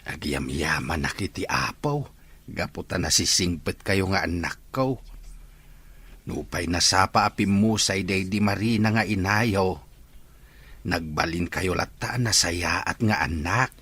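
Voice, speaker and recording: A man playing a gentle, grateful, ailing father who longs for grandchildren in a radio-style drama. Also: sits low, a little slow, husky, heavily acted.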